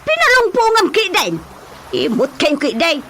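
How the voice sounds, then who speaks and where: Nagging neighbour woman, high pitch, Ilocano accent, exclamatory scolding, radio drama comedy, clearly noisy recording. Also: wildly swooping pitch, normal speed, exaggerated voice. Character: quarrelsome complaining woman neighbour in a village comedy.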